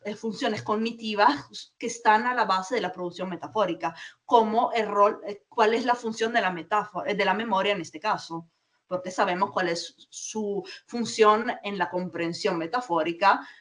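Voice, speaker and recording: A woman presents her research at an online conference, pausing often and correcting herself mid-phrase. Her voice sounds a little distant from the microphone, as if over a video call. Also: mid-range voice, a bit bright. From Peru. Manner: halting, many fillers and restarts. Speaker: academic presenter. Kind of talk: conference talk.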